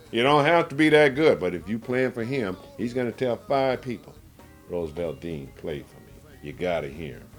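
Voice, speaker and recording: A man who sings and plays blues guitar, talking in an interview in an emphatic, colloquial, demonstrative way. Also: lowish voice. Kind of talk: casual interview.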